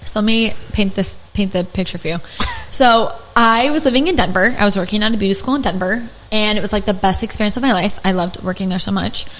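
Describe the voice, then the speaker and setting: A young woman with a slightly raspy voice hosts a casual podcast, pitched in the middle. She rambles through a story, restarting sentences and dropping in lots of "like" and "um". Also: fast talker, few pauses, fairly bright tone, slight background noise, poor audio. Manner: chatty storyteller, many fillers and restarts. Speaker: podcast host.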